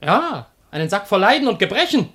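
A man's voice: high-pitched, very animated, fairly quick, sharp tone, exaggerated voice. A man playing a cheeky, glib slave of ancient Greece, declaiming with mockery in a German classical comedy radio play. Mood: mocking. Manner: declamatory, mocking.

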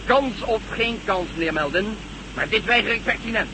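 A man's voice: high voice, lively intonation, normal speed. A man playing a cocky, sardonic pilot in a science-fiction radio play, wry and emphatic. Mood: sardonic, defiant. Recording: noisy old radio play, aircraft drone.

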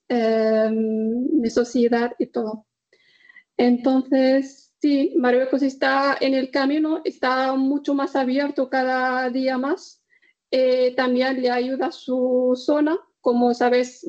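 A woman from northern Morocco, answering interview questions in a halting way, pausing often to search for words. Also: high-pitched, a little mellow, lo-fi sound. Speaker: guest.

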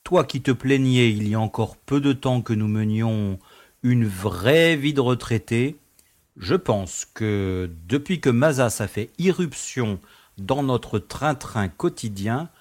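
A man playing a wry space pilot, articulate and gently ironic, in an audio drama. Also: mid-range voice, animated, slow pace. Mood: teasing.

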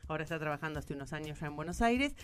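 A woman's voice: deep voice, expressive, racing, slight background noise. A woman with an Argentine Spanish accent, speaking informally and explaining as a guest in a radio interview. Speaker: interviewed theatre event organiser.

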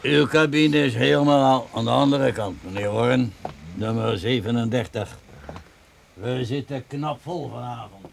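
A folksy motel keeper in a radio play. His voice is gravelly with plenty of fry, at an ordinary male pitch. He is chatty, proud and eager to oblige. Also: unhurried, noisy background. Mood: helpful. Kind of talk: radio drama acting.

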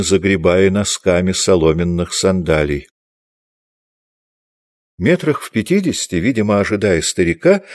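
A man's voice: lowish voice, a little mellow, no room sound, studio quality. A man reading scripted literary narration as the narrator of an audio drama.

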